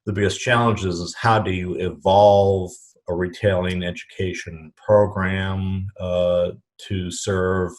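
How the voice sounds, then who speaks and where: A man's voice: low voice, little pitch movement, slow. A middle-aged man from the Chicago suburbs, a retail educator, speaking as a podcast guest in a thoughtful way, often repeating words as he restarts phrases. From Chicago suburbs, USA. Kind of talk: conversational podcast interview.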